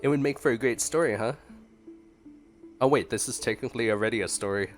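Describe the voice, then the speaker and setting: A man's voice: medium pitch, quick pace. Playful male streamer over game music. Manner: playful, chatty. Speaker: gaming streamer. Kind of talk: casual game reaction commentary.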